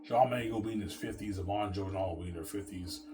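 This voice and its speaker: A man's voice: low-pitched, quick pace, noisy background. A man in his thirties rambling to his viewers in a self-assured, repetitive way, often restarting his sentences. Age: 30s. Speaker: vlogger.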